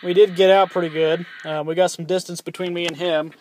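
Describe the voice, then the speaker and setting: A young man with a somewhat high voice talks through his CB radio in a loose, offhand way. He rambles, restarts sentences and fills pauses with ums. He sounds like a hobbyist vlogging from beside his car. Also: flat delivery, a little mellow, slight background noise, low-quality recording. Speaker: YouTuber reviewing his CB radio. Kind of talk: casual product review vlog.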